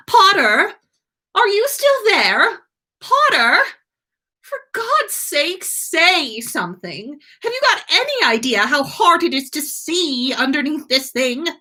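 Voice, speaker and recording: A woman acts out a haughty teenage wizard boy from a storybook. He is sulky and exasperated, pitched high with big swoops, and he drags out his snarky complaints. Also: very animated, takes it slowly, sharp tone, heavily acted. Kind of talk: animated fan fiction read-aloud.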